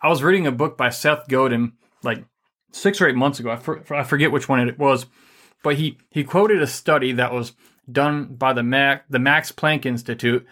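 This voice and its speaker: A man co-hosting a casual faith-based money and marriage talk, energetic and rambling with many fillers. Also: mid-range voice, moderate pace.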